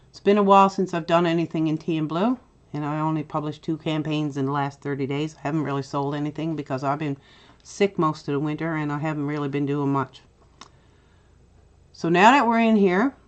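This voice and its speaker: A middle-aged woman with a Newfoundland accent, chatty and step-by-step as she hosts a software tutorial. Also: low voice, muffled recording. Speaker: tutorial host. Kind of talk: screen-recorded software tutorial.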